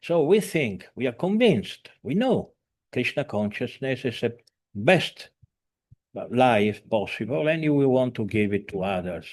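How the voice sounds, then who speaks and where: A man in his seventies talks earnestly over a video call, in a voice of ordinary middle pitch for a man. He speaks haltingly, repeating and restarting his words, with filler sounds and slightly broken, non-native grammar. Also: very animated, darkish tone. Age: 70s. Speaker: spiritual teacher. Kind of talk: informal talk by video call.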